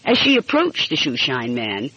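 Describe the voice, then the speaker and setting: A woman's voice: very deep voice, wildly swooping pitch, moderate pace, bright, forceful tone, slightly rough, no echo. A woman reading a mystery story aloud as scripted audiobook narration. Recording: muffled, dull lo-fi audio.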